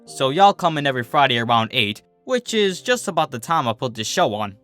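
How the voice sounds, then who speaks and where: Man as a folksy farmer mare, bright tone. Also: medium pitch, very animated, brisk, soft background music. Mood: teasing, insistent. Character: steady, teasing female farmer pony, affectionate.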